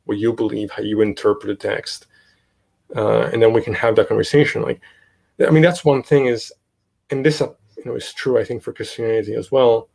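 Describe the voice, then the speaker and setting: Halting man, gravelly voice. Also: lowish voice, steady tone, darkish tone. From Italy. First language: Italian. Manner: halting, many fillers and restarts. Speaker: guest, podcast host. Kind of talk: casual interview talk.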